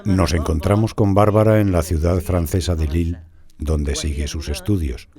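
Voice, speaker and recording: A man's voice: deep voice, steady tone, moderate pace, dark tone, soft background music. A man narrating a documentary program in a solemn, reverent scripted manner. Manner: solemn and reverent, with devotional phrases.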